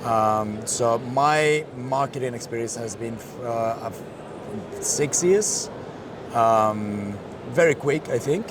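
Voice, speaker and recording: A man's voice: medium pitch, slow. A man with a Colombian accent, a Spanish speaker, telling his career story in a casual interview, with many fillers and restarts. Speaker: interview guest, marketing director. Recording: street noise behind.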